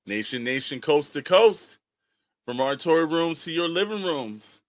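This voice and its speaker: Slow-talking male podcast co-host, casual. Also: fairly high pitch, big pitch swings, no echo, clean studio sound. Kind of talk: casual podcast banter and ad reads.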